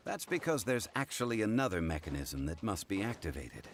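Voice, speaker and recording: An actor playing a calm, scholarly guide who recounts old legends in a formal, measured way in a video game. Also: male voice, on the low side, slight background noise. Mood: composed, instructive.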